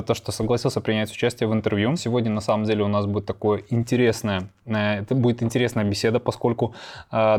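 A male podcast host who speaks quickly at a middling pitch. He interviews in a chummy, playful way, addressing the guest like an old pal. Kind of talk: conversational interview.

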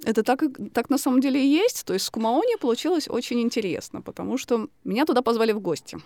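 Woman explaining her research in an interview, talks fast, gravelly fry, super dry close sound. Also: a little high, expressive, mellow, no echo. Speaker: guest linguist describing her field research. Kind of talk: conversational interview storytelling.

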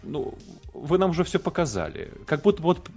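A man on a film podcast pushing back on his co-host, his voice swinging widely in pitch. Faint music plays behind him. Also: very animated, a little fast, gravelly, a little mellow, slightly rough. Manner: argumentative, makes pointed objections. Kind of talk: casual film discussion.

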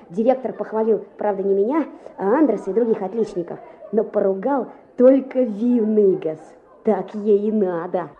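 A cocky schoolboy, bragging as he narrates a radio play. The voice is on the high side and the recording is dull. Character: cocky, boastful schoolboy telling his own story. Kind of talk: Soviet radio play, first-person narration.

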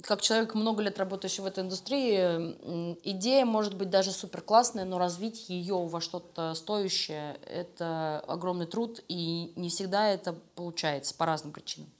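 A woman speaking as a studio creative director being interviewed, explaining things at length in an informal, expressive way. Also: lowish voice, normal speed, hardly any pauses. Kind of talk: casual interview talk.

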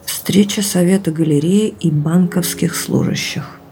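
A woman's voice: fairly low pitch, unhurried, dark tone, slight background noise, close to an everyday voice, poor audio. An actress playing an articulate, self-assured young woman with an informal manner in an audio drama. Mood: curious, informal. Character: articulate, lively young woman, opinionated reader and friend.

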